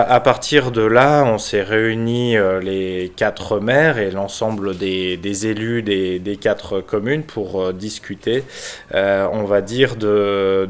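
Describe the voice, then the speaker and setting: A man from France who is a local mayor, giving an unscripted explanation in a halting, hesitant manner with frequent pauses. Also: fairly low pitch, smooth. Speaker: village mayor and local councillor.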